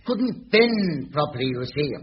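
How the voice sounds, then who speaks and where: An actor playing an officious British boss in a radio sitcom, smug, bossy and condescending. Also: male voice, a little high, sing-song, unhurried, crowd noise. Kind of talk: broadcast radio sitcom acting. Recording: muffled old radio tape, faint hiss.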